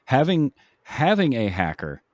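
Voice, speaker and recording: A man hosting a casual film discussion, explaining things in a measured, halting way. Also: medium pitch, huge pitch swings, no room sound. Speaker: podcast host.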